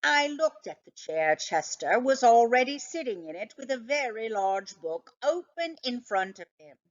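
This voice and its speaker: A woman reading a children's book aloud as an expressive narrator. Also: fairly high pitch, unhurried, highs cut off. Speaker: storybook reader.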